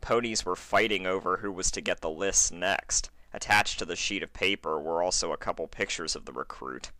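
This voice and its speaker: A man's voice: sits low, moderate pace, pushed and bright, no room sound. A man who reads fan fiction aloud, chatting to listeners and narrating stories expressively. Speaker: amateur fan fiction reader. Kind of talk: chatty intro, then expressive story narration.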